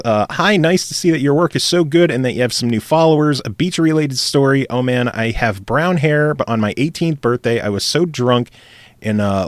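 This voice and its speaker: A man's voice: medium pitch, dry recording, studio quality. A man hosting a casual gaming podcast, reading listener emails aloud and laughing often. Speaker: podcast host.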